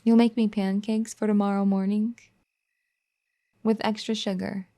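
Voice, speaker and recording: Woman doing a soft-spoken wife, gently scolding, mellow warm voice, asmr roleplay. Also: medium pitch, level tone, measured pace, lightly acted. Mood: teasing tender. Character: caring, firm young wife, gently bossy.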